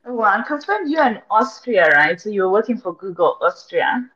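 A woman's voice: medium pitch, sing-song, normal speed, a bit bright. A woman hosting a podcast interview, chatty and loose in her phrasing. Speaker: podcast host. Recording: dull lo-fi audio.

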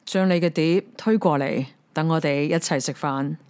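A coaxing male frog put on by a woman narrator calls again and again in a Hong Kong Cantonese lilt, pitched a touch lower than her narration. His tone is smooth and resonant as he begs to be let in and fussed over. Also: moderate pace, darkish tone, close to an everyday voice. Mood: demanding. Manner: coaxing, repetitive calling. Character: pleading, insistent male frog.

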